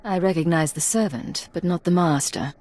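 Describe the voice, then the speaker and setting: Woman playing a low-voiced, resolute secret agent. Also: normal speed, a little mellow, natural voice. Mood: cool, guarded. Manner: terse, controlled. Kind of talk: video game voice acting.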